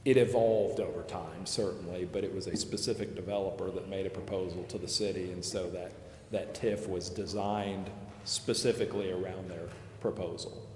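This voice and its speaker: A man advising a city committee, explaining figures in a measured way and pausing to recall details. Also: deep voice, steady tone, darkish tone. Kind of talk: explaining figures at a public meeting. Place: city council chamber. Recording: echoey chamber, long reverb, faint hiss.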